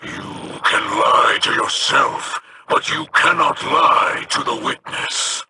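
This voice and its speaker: An actor voicing a solemn alien pirate captain in a video game, speaking with measured gravity over noisy game audio. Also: male voice, medium pitch, pushed and bright. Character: noble alien pirate captain, grave and earnest.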